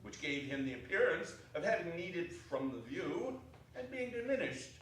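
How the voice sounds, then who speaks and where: A man's voice: on the high side, moderate pace, a little hiss or hum. A man narrating a staged dramatic reading expressively, heard from far off in an echoey arts hall. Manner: expressive, storytelling. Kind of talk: theatrical narration. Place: small arts center stage.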